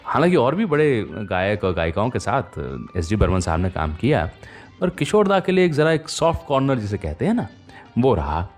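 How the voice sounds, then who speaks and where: A man hosting a radio show, telling stories in a chatty, conversational way with pauses and rhetorical tags. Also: medium pitch, very animated, faint music behind. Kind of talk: conversational radio storytelling about old film music.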